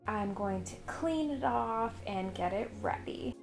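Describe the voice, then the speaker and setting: A woman's voice: mid-range voice, expressive, a little slow, over music. A woman in her thirties chatting in a cheerful, friendly way while narrating a home vlog about party prep. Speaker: homemaking vlogger. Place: living room at home.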